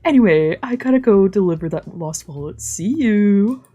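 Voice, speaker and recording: A woman's voice: medium pitch, lively intonation, moderate pace, warm tone, muffled recording, faint music behind, pitched above the performer's own voice, close to an everyday voice. A woman voicing a friendly, chatty, doting character from a visual novel, eager and caring. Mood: fond, eager.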